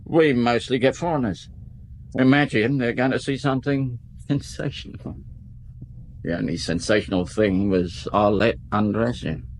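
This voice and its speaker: An actor playing a defensive nightclub owner in a radio drama, talking in rough everyday grammar. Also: male voice, medium pitch, normal speed, a little hiss or hum, close to an everyday voice, rough recording.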